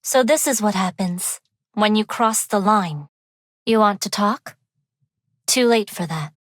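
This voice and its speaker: Game role: refined young female underworld heiress, composed, formal, quietly dangerous; mid-range pitch, close dry sound. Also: animated, measured pace, dry recording. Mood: quietly menacing. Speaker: video game voice actor. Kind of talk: scripted game character voice lines.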